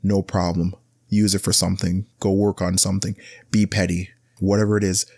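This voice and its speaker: A man hosting a casual solo podcast, giving blunt advice in a rambling, conversational way that often jumps up in pitch to stress a point. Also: flat delivery, a little fast, creaky, a little mellow, no room sound, clean studio sound. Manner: rambling, with frequent restarts and filler words. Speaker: podcast host.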